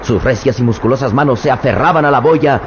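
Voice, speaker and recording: Mexican man narrating an old radio serial. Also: mid-range voice. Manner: dramatic, measured storytelling. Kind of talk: scripted radio drama narration. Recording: muffled old radio recording with background noise.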